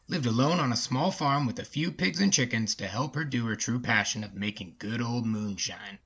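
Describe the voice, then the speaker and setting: A man's voice: medium pitch, gravelly, a bit bright, slightly rough, highs cut off. A man hosting a story podcast, talking and narrating in a casual, folksy way.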